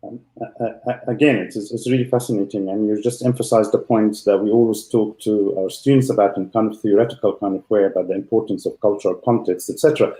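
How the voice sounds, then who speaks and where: A man's voice: on the low side, fairly even intonation, quick pace, mellow. A man hosting an interview, asking long questions with many hesitations and restarts. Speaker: podcast host, lecturer. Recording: echoey room.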